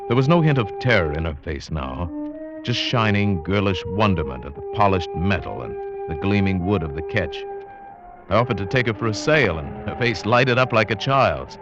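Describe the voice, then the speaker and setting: Haunted lonely sailor in a 40s radio drama, low swooping voice, earnest, dull old recording w music. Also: male voice, huge pitch swings, moderate pace. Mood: brooding. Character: lonely, haunted, lovestruck male sailor narrating his past. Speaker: narrator and lead actor. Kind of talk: 1940s-50s radio drama acting and first-person narration.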